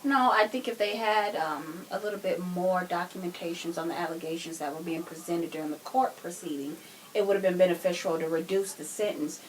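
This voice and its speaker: A woman in a casual podcast discussion, reasoning things out in wordy, somewhat formal phrases. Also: lowish voice. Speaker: podcast co-speaker. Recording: noisy room ambience.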